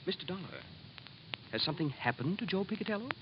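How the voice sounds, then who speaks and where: Fussy, swooping, flustered office manager. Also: male voice, high voice, animated, quick pace. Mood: flustered, worried. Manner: flustered, garrulous, hesitant. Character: fussy, rambling, anxious male insurance office manager. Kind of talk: old-time radio drama acting. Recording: muffled, hissy old radio recording.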